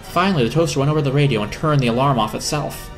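A man's voice: medium pitch, very fast, faint music behind. A man narrating a children's story as a dramatic reading.

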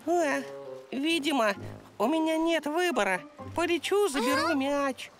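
A woman voicing a timid, excitable young pterosaur robot in a children's cartoon dub. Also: sits high, a little slow. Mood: reluctant. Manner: excitable, dramatic. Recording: music behind the voice.

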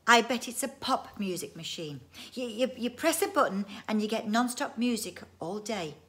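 Woman doing a high, whiny little girl. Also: animated, normal speed, bright, forceful tone. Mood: eager guessing. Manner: childlike, wailing.

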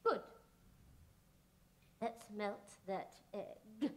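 A woman's voice: medium pitch, extremely slow, darkish tone. A woman playing a bossy, scheming, wounded pantomime villainess in a theatrical, commanding manner on stage. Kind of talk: broad stage pantomime acting. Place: theatre stage before an audience. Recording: noisy theatre recording from audience distance.

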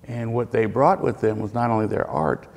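A man's voice: on the low side, moderate pace, vocal fry, darkish tone. An older man giving emphatic, earnest interview answers about his museum's legacy. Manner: earnest, emphatic, builds to punchy lines. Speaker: museum representative. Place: indoor hall with displays. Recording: hall reverb.

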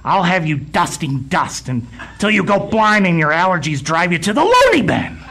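An actor playing a fussy, condescending middle-aged museum boss in a comedic audio drama, with a pompous, stammering delivery. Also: male voice, high voice, huge pitch swings, moderate pace, gravelly, sharp tone, full character voice. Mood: indignant. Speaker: museum supervisor.

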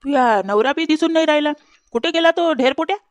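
A woman voicing a grumbling, sharp-tongued village mother-in-law in a comedy cartoon, with a Varhadi Marathi accent. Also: high voice, fast, no room sound, natural voice. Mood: grumbling, mocking. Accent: Varhadi Marathi from Vidarbha. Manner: griping, gossipy, insulting. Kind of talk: comedy cartoon dialogue in rural dialect.

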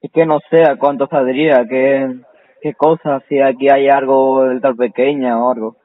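Un hombre que interpreta a un hijo adulto obtuso, evasivo e impasible en una broma telefónica. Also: male voice, medium pitch, moderate pace, lightly acted. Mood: deadpan. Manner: deadpan, evasive. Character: unhurried, obtuse grown son handling household bills. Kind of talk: prank phone call. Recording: muffled, tinny phone line.

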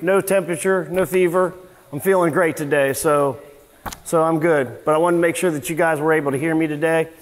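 A middle-aged man, a service manager, giving an informal seminar in a large workshop garage, talking casually with many fillers and restarts, picked up by a camera at a distance. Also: sits high. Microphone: far camera, no mic on him. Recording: echoey garage from far off.

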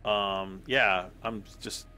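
A man with a hoarse voice giving casual, rambling commentary while playing a game, often clearing his throat. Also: on the low side, steady tone, drawn-out words, pushed and bright. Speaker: gaming YouTuber.

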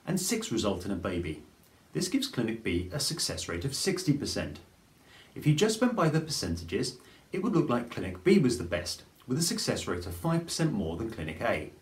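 A man, presenting a scripted explainer to camera in a clear, measured way. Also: fairly low pitch. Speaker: fertility advice presenter. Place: plain studio with grey backdrop. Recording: roomy studio echo.

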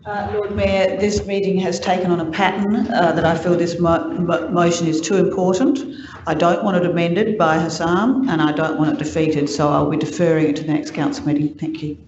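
Firm, higher-voiced councillor man. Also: fairly even intonation, normal speed, darkish tone. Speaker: city councillor. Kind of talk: council meeting speech. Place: large formal council chamber. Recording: echoey chamber, lo-fi.

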